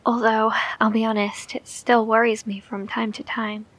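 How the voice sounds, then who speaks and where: Woman acting anxious kind survivor lady in horror audio drama, highish husky voice, takes her time, bit halting. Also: flat delivery, natural voice. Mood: uneasy. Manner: hesitant, gentle, halting. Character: anxious, caring woman survivor in an underground bunker.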